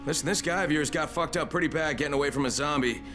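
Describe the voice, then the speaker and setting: An actor playing a steady undercover agent among zombie survivors in a video game. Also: male voice, fairly high pitch, quick pace, music in the background, lightly acted. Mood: urgent.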